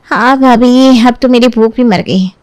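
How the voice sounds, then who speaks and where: Woman voicing a bossy young sister-in-law, high-pitched. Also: moderate pace, a little mellow, clear, resonant tone, no room sound, close to an everyday voice. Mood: haughty, critical. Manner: condescending, interrupting, complaining. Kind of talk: acted dialogue in a Hindi family storybook tale.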